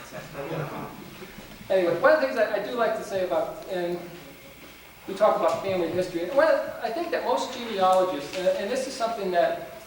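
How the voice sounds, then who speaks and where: Guy lecturing genealogy folks, new england/north country accent, kinda high voice, really echoey and far from the mic. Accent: mixed New England and North Country. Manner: rambling, talking to the audience with many restarts. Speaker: genealogy speaker. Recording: roomy, distant, faint hiss.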